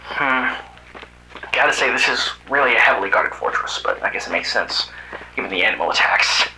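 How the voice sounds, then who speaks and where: A man voicing a sardonic cyborg animal hero from another planet in an amateur animated drama, with muttered asides and exclamations. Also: medium pitch, fairly quick, a little hiss or hum. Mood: wry, muttering. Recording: roomy, echoing voice track.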